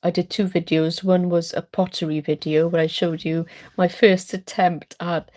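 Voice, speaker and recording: A middle-aged woman chatting on a late-night live stream, rambling warmly with many ums while congested and chesty from a cold. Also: fairly low pitch, level tone, normal speed. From Wales. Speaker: art channel host.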